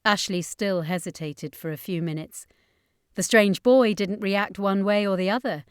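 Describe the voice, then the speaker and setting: A woman's voice: mid-range voice, normal speed, smooth, dry recording, studio quality. A woman reading a horror fantasy story as scripted audiobook narration.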